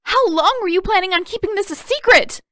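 Furious teenage girl, played by a woman narrator, betrayed and yelling, high voice, dry recording. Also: fairly quick, dry recording, full character voice. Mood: enraged. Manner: yelling, incensed, clear and steady. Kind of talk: audiobook character acting.

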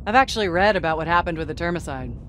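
An actress playing a chatty, nervously upbeat ship crew member in a video game, informal and conversational. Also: female voice, animated, rapid-fire, fairly bright tone. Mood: matter-of-fact. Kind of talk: scripted video game voice acting. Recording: rumbling ship engine noise.

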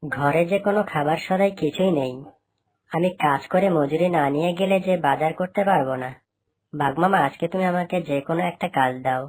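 Struggling male fox labourer for a cartoon, played by a woman: worried, pleading, deep for a female voice. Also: brisk, darkish tone, natural voice. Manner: plaintive, pleading. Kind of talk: cartoon dialogue.

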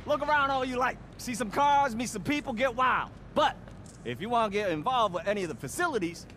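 An actor with an American accent playing a flamboyant, chatty young car-meet regular in a video game, with exuberant, drawn-out showman delivery. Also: extremely high pitch, animated, fairly bright tone. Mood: welcoming. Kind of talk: video game cutscene acting. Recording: noisy car meet ambience.

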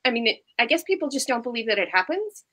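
Jersey woman, bright crisp voice, upbeat and encouraging. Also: a little high, sing-song, quick pace. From Maplewood, New Jersey. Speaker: guest. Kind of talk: casual live video chat.

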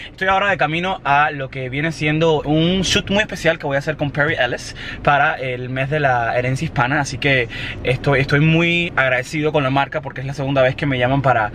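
A man's voice: on the high side, bright, forceful tone, slight background noise. A man in his twenties from Cuba with a Cuban accent, talking casually to the camera as a vlogger. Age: 20s. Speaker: vlogger, model. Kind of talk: casual vlog talk to camera. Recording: music behind the voice. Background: background music.

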